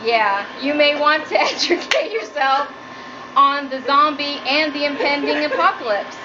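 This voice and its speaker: A woman's voice: lively intonation, a little slow. A woman giving a humorous prepared speech as a student, with a nervous, giggly delivery. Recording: muffled low-quality audio, noticeable background noise.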